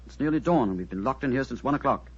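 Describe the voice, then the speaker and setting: A man's voice: mid-range voice, lively intonation, quick pace, slightly rough. A man playing a stuffy, suspicious knight, pompous and huffy, in a 1940s radio drama. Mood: indignant. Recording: dull, lo-fi 1940s radio recording.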